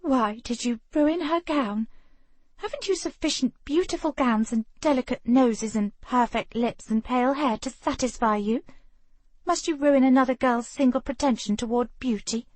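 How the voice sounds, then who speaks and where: A woman's voice: sits high, normal speed, darkish tone, dry recording, voice pushed up. A woman voicing a passionate, defiant young woman who speaks urgently and indignantly in an audiobook.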